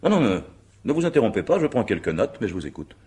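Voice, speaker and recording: A man playing a formal, suspicious examining magistrate in a French radio mystery play, with measured, pedantic and probing delivery. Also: lowish voice, very animated, darkish tone, husky. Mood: formal, dictating. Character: methodical, suspicious male examining magistrate questioning a witness. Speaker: actor.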